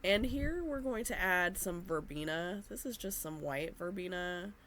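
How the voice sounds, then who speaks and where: A woman talks through her gardening in a gentle, slow and easygoing manner, often pausing on little fillers. Her voice sits low and sounds a touch nasal, as if she is fighting a cold. Faint noise hums under the recording. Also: expressive. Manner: gentle, unhurried, with frequent fillers. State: slightly nasal from a cold or allergies. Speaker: gardening vlogger. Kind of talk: voice-over narration of gardening.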